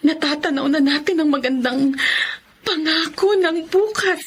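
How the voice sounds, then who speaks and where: A woman playing a gentle, pious and grateful mother in a Filipino radio drama, with a Filipino accent. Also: high voice, a little slow. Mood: grateful, moved.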